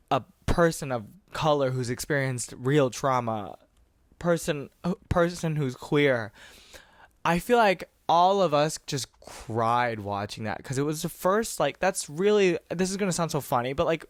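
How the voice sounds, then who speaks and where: A man's voice: a little high, no echo, studio quality. A man in his twenties, a podcast host raised in Texas and California, rambling passionately in a casual monologue with some swearing.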